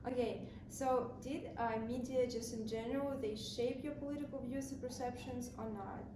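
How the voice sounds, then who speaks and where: A woman's voice: fairly high pitch, steady tone, moderate pace. A young woman asking interview questions with slightly phrasing and encouraging murmurs. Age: young adult. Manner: slightly non-native phrasing, encouraging mm-hmms. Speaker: student interviewer. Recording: roomy, distant mic, noisy.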